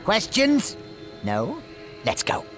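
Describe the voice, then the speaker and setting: Wildly swooping, cranky old gnome boss. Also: male voice, sits high, huge pitch swings, drawn-out words, over music, heavily acted. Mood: bossy, demanding. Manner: bossy, irritable, snapping. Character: abrasive, arrogant old male gnome-like inventor boss. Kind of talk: video game cutscene acting.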